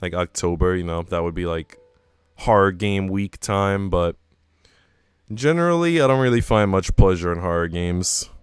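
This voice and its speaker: A man's voice: deep voice, moderate pace, clear, resonant tone, no echo. A man live-streaming a visual novel, reading it aloud and chatting casually in slangy asides. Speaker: game streamer. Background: music.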